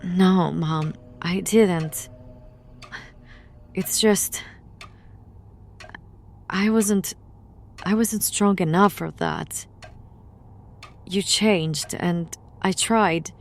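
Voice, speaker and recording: A woman playing a frightened, guilt-ridden daughter in a horror audio drama, with a halting, emotionally raw delivery. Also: fairly low pitch, gravelly, slight background noise, heavily acted. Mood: guilty, pleading.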